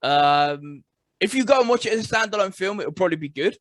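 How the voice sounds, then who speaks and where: A man's voice: high-pitched, expressive, brisk, fairly bright tone, no echo. A man co-hosting a podcast in casual chat, stating blunt, emphatic opinions. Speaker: podcast co-host.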